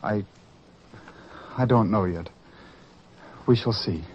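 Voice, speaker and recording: A man's voice: fairly low pitch, slow pace, slight background noise. A man acting an anxious, conscience-stricken disciple in a religious radio drama, hesitant and theatrical. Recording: muffled radio recording with music.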